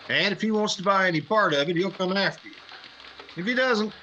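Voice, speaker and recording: Cynical American newsman, acted by a man, bright forceful voice a bit high, dry delivery, radio drama, faint noise. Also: a little fast, highs cut off. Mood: cautioning. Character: prickly, cynical newspaperman sidekick. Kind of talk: 1950s radio drama acting.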